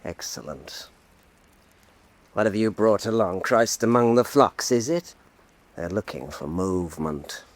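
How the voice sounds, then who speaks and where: A man's voice: fairly low pitch, lively intonation, measured pace, long pauses, no room sound. A man playing a world-weary painter who mocks himself and his colleagues wryly. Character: jaded, self-mocking male painter. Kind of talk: radio drama acting.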